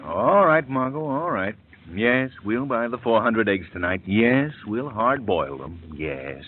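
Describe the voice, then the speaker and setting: A wealthy, urbane young gentleman, played by an actor, wry and amused, his voice rising and falling freely. He comes through a thin, tinny old radio broadcast with faint hiss. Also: mid-range voice, expressive, unhurried, lightly acted. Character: wealthy, urbane young man about town. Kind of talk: 1930s radio drama.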